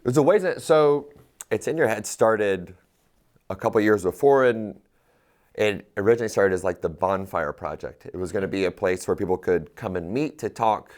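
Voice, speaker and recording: A man's voice: on the low side, normal speed, darkish tone. A man as a guest in a casual interview, speaking reflectively and candidly, laughing at himself now and then.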